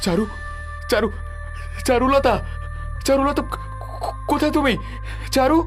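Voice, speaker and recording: A man's voice: very high-pitched, unhurried, over music. A man playing a kindly, trusting landlord and devoted husband in a melodramatic cartoon story. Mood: anguished.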